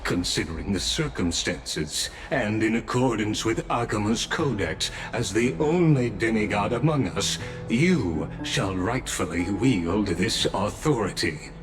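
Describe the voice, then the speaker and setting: A measured, dignified official speaks very slowly in a lowish voice with lively inflection. Loud game music fills the background. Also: male voice. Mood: formal. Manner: slow, formal, deliberate. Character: calm, measured male official, solemn.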